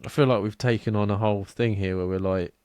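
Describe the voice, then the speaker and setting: A man's voice: lowish voice, fairly even intonation, fast talker, darkish tone, clear, resonant tone, no room sound, clean studio sound. A young man co-hosting a podcast, giggly and self-deprecating, swearing casually. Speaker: podcast co-host. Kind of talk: casual banter.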